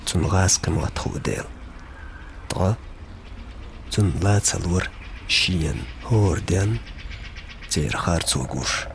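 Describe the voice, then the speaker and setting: A man's voice: low-pitched, lively intonation, drawn-out words, creaky, pauses often, a little hiss or hum. A man, a native Chechen speaker, narrating a film from a script.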